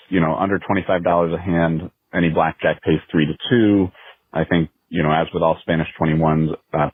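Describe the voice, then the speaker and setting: Deep, husky man on a phone line. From Connecticut, United States. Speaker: listener calling a voicemail line. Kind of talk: casual voicemail question.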